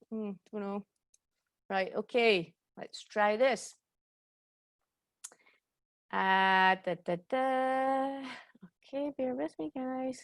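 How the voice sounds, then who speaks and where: A woman in her forties with a Glaswegian accent, hosting a live online yoga workshop in a chatty way that keeps checking in with her listeners. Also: a little high. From Scotland. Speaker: yoga teacher and workshop host. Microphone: computer mic on a video call.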